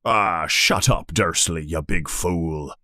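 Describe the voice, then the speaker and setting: A man voicing a big, gruff but kindly male giant groundskeeper in a low, booming voice, in a storybook reading. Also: takes it slowly, pushed and bright, dry recording, clean studio sound, heavily acted.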